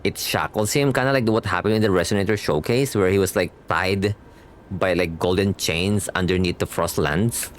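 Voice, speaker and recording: Low-voiced young man, rambling casually. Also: moderate pace, slight background noise. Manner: rambling, slangy, repeatedly rephrasing. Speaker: streamer reacting to a game. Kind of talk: casual reaction commentary.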